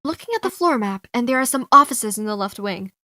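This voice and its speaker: A young adult woman doing dramatic first-person storytelling for a cartoon fandub, expressive and theatrical. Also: fairly high pitch, brisk, no echo, clean studio sound. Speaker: fan dub voice actor.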